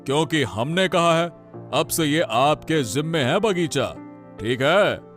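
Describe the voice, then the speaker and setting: A man voicing a commanding, pompous king with a deliberate, authoritative manner in a Hindi cartoon story. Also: fairly high pitch, expressive, a bit bright, soft background music. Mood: stern, threatening. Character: commanding, imperious king giving orders to subjects.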